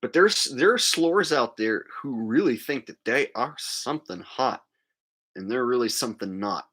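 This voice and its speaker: A man hosts a livestream in a loose, ranting monologue. His pitch swings widely and animatedly over an average-pitched voice. He talks crudely and mockingly, often slipping into mimicry. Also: lively intonation, moderate pace, pushed and bright, husky. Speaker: livestream host.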